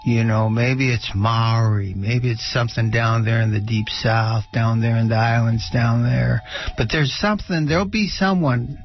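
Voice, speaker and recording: A middle-aged or older man giving unscripted spiritual teaching on a radio show, halting and often pausing mid-sentence. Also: mid-range voice, steady tone, lo-fi sound, slight background noise.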